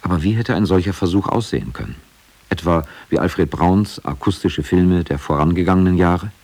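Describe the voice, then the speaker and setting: A man's voice: sits low, normal speed, darkish tone. A man reading scripted narration for a radio documentary.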